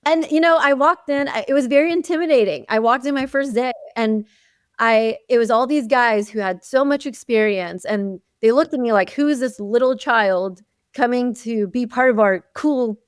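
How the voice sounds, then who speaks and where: A woman whose first language is Arabic, telling her career story in an interview, lively and chatty with many "you know"s. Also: on the high side, moderate pace, clear, resonant tone, no echo, clean studio sound. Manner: animated storytelling with frequent "you know" and laughs. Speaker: guest, chief dental officer. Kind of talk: conversational interview.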